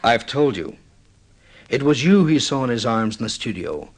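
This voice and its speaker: An actor playing a middle-aged film director, intense and mournfully insistent, with theatrical, deliberate delivery in vintage radio drama style. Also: male voice, mid-range voice, big pitch swings. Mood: grave, reproachful.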